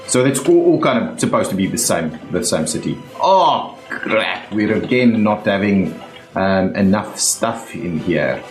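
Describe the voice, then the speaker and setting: A man's voice: lowish voice, expressive, measured pace, roomy sound, faint music behind. A young South African man with a South African accent, narrating a city-building game in a chatty way while he thinks aloud, with lots of ums and uhs. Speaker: gaming YouTuber. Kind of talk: casual game commentary.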